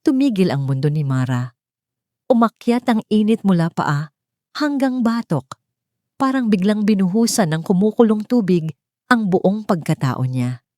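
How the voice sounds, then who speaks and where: A woman's voice: medium pitch, expressive, unhurried, mellow, dry recording, clean studio sound. A woman narrating a scripted dramatic story as its storyteller.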